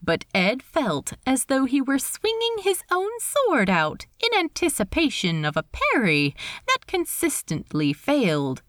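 A woman reading a story aloud in expressive scripted narration. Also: fairly high pitch, no room sound, studio quality. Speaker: reader of fan fiction.

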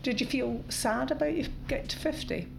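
A woman's voice: sits high, normal speed, vocal fry, music in the background, low-quality recording. Chatty woman interviewer in her fifties. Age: 50s. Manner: chatty, prompting with personal questions. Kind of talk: conversational interview.

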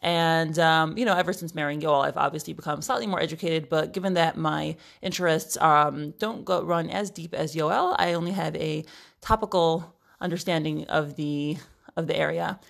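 A woman's voice: deep voice, fairly even intonation, normal speed, gravelly. A woman, chatting casually in a playful, wry, articulate way as a podcast co-host. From Brooklyn, New York, United States. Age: 30s. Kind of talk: casual chat.

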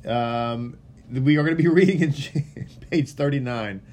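A rabbi from Texas with a drawl greets his online congregation in a loose, unscripted way. He is earnest and rambling, restarting sentences and repeating words as he goes. His voice sits at an ordinary middle pitch for a man. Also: unhurried, darkish tone. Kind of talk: casual livestream welcome before a Torah reading.

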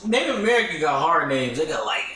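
A man's voice: on the high side, sing-song, fast, pushed and bright, roomy sound. A young man co-hosting a podcast, laid-back and dry as he jokes around. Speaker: podcast co-host. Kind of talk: casual chat.

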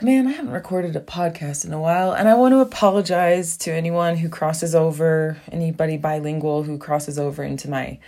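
A woman's voice: sits low, a little mellow. A young woman hosting a casual solo podcast from a small bathroom at home, rambling with lots of fillers and frequent laughs. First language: English. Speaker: podcast host.

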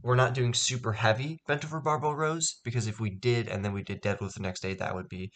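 A man's voice: mid-range voice, fairly even intonation, a little fast, a bit bright. A young man hosting his own fitness podcast, talking casually with lots of ums and restarts, a bit stuffed up, on a poor microphone. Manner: rambling, many ums and restarts. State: stuffed up nose. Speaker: podcast host. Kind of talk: casual solo podcast talk.

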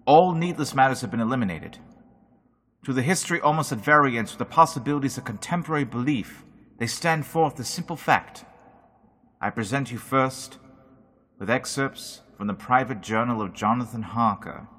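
A man playing a grave male doctor in a 1930s-style radio drama, measured and emphatic as he lays out his evidence. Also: mid-range voice, fairly bright tone, close to an everyday voice. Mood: earnest.

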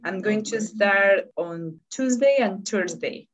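A Colombian woman, a native Spanish speaker, speaking English haltingly in casual conversation, often searching for words. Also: mid-range voice, expressive, lo-fi sound. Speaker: English learner.

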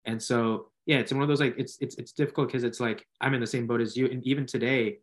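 A man's voice: mid-range voice, fairly even intonation, quick pace. A man from the United States hosting a casual interview, with wordy, roundabout phrasing. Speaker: interview host.

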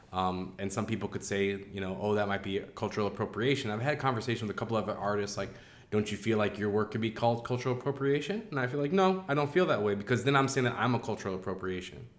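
A man who works as an art educator, chatting casually and quickly, with lots of "like" fillers. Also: fairly low pitch, echoey room. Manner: fast, chatty, many "like" fillers. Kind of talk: casual conversation.

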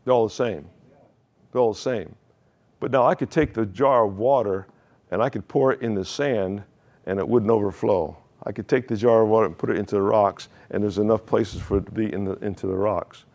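A man's voice: fairly low pitch, brisk, a little mellow. A male pastor preaching a sermon in a conversational way, asking the congregation questions and often restarting his phrases.

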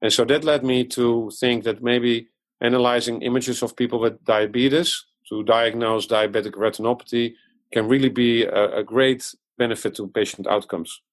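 A middle-aged man with a Dutch accent speaks at a middle pitch for a man. He talks as a podcast guest, explaining things thoughtfully and restarting his sentences often. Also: steady tone, moderate pace. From Netherlands. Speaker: guest, eye surgeon and company founder. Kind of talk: podcast interview answers.

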